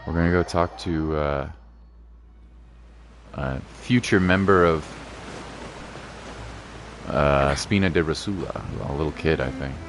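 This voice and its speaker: A man's voice: a bass voice, unhurried, pauses often. A man hosting a gaming livestream, chatting casually and thinking aloud in an informal, rambling way as he comments on his play. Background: game music.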